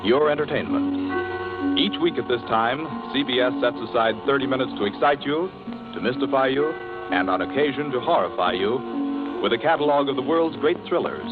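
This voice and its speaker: A man's voice: mid-range voice, expressive, loud music behind the voice. A man narrating as a formal, theatrical radio announcer in 1940s scripted drama style. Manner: formal, theatrical, deliberate emphasis. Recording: muffled 1940s radio broadcast.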